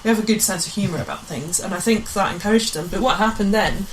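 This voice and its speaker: A woman's voice: mid-range voice, fast, slight background noise, low-quality recording. A woman chatting as a guest in a radio interview, often chiming in. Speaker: guest, amateur pantomime writer and director.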